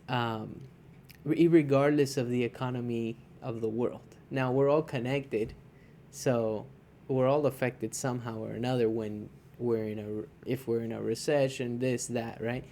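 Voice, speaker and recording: A man's voice: mid-range voice, steady tone, measured pace, gravelly, mellow, slight background noise. A man co-hosting a podcast in casual conversation, often checking in with his partner and restarting his sentences. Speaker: podcast co-host.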